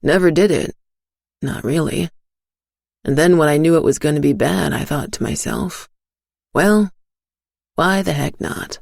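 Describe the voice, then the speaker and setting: A woman's voice: deep voice, lively intonation, a little slow, gravelly, long pauses, darkish tone, no echo, clean studio sound, exaggerated voice. A woman voicing a weary, taciturn, sick man who smokes, terse and resigned, in an audio story.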